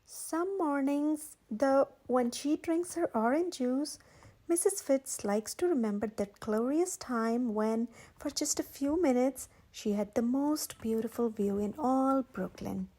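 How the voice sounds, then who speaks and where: Woman, high voice, mellow tone, children's read-aloud, measured expressive narration. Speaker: children's story channel host and reader. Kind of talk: scripted read-aloud narration of a picture book.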